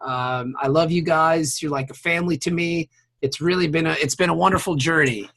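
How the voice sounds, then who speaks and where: A man's voice: on the high side, a little fast, fairly bright tone. A man hosting a casual podcast, bantering in an effusive, teasing way with plenty of swearing. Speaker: podcast host.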